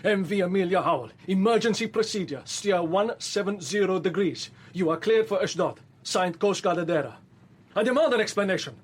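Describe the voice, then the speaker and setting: An actor playing a proud, suspicious ship's captain in a radio drama, husky-voiced, blunt and formal. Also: male voice, sits high, a little fast. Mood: indignant.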